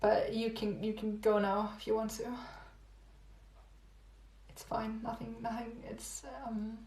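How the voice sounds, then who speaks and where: A young woman chatting casually with livestream viewers, rambling and repeating herself with little laughs, her voice going hoarse. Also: fairly high pitch, completely flat delivery, unhurried, darkish tone. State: losing her voice. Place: home room. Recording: echoey room, phone livestream.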